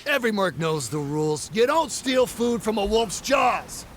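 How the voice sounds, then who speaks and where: A fierce shouting mercenary. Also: male voice, high-pitched, very animated, normal speed, slight background noise. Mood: menacing. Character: fierce, proud mercenary man. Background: game music.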